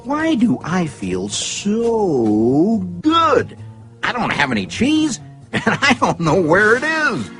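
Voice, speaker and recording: A man voicing an upbeat, adventurous little cartoon character, encouraging and expressive, with music behind him. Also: sits high, slow, full character voice. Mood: reflective, hopeful.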